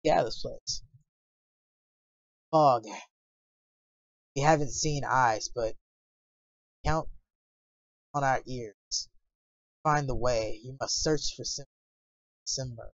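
A woman voicing a grieving male violinist composer, reading his lines quietly and earnestly, on dull lo-fi stream audio. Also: extremely low pitch, slow pace, long pauses, dry recording, pitched below the performer's own voice, exaggerated voice. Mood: urgent.

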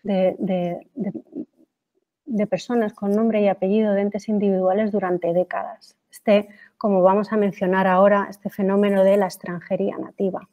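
A woman giving an academic lecture, pausing often with fillers and restarts and asking rhetorical questions. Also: mid-range voice, level tone, muffled recording. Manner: hesitant, many fillers and restarts; reads quotes aloud, asks rhetorical questions.

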